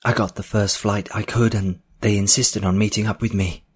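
A man's voice: on the low side, fairly even intonation, moderate pace, husky, pitched above the performer's own voice. A man voicing an earnest woman in an audiobook, pleading and anxious to explain herself. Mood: defensive, anxious.

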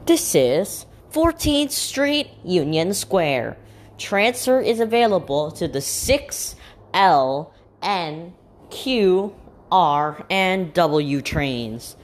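Slow, melodic woman making train announcements. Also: on the low side. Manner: formal, measured, list items set apart by pauses. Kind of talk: scripted transit announcements.

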